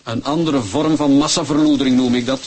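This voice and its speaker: An actor playing a sceptical, disenchanted citizen in a radio play. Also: male voice, medium pitch, fairly even intonation, brisk, darkish tone, slight background noise, lightly acted. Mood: disgruntled. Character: sceptical, disenchanted male citizen troubled by grave questions.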